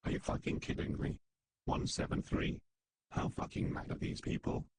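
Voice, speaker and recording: A man's voice: moderate pace, a little mellow, no room sound, lightly acted. Man playing a whispery, grim secret-agency official. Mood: incredulous, angry. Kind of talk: dramatic meeting dialogue.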